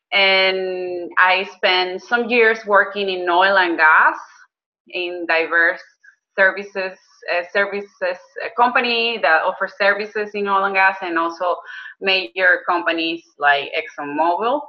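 A woman's voice: medium pitch, takes it slowly. Earnest woman with a muffled sound. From Venezuela. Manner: earnest, hesitant with frequent ums and restarts. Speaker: guest, health coach and business owner. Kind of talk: conversational interview.

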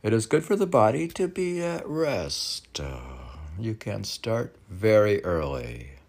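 Male poet, slow pace, swinging intonation, meandering and musing, reading with rambling asides. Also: on the low side, expressive, a little mellow. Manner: musing, meandering, talking to himself. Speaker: poet reading own work.

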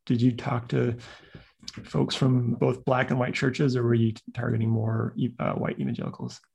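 A man's voice: medium pitch, level tone, moderate pace, gravelly, darkish tone, breathy edge. A man interviewing a guest, asking long meandering questions and giving frequent short backchannels.

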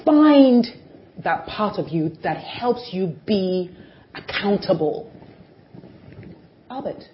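A middle-aged woman, a television personality, speaking as an interview guest in an animated, emphatic way that swings between loud and soft. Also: mid-range voice, slow pace. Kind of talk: conversational interview. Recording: dull lo-fi recording.

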